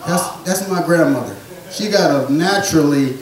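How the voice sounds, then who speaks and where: A man telling rambling family stories in a stand-up set, with a soft voice he says he has. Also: a little high, moderate pace, very echoey, far from the mic, poor audio. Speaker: stand-up comedian. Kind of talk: storytelling stand-up comedy. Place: small theatre or bar stage.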